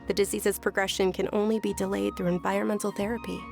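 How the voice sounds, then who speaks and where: A woman playing a gentle, frail young noblewoman in a video game, speaking softly and politely with earnest, measured delivery. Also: medium pitch, flat delivery, vocal fry, over music, lightly acted. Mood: calm, resigned.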